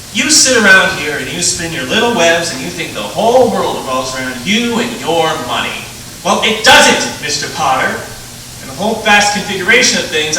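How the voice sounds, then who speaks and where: An actor playing an earnest, excitable small-town young husband, stammering and emphatic, in 1940s radio style. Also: male voice, high voice, full character voice. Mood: indignant. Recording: echoey stage, distant mic, clear noise.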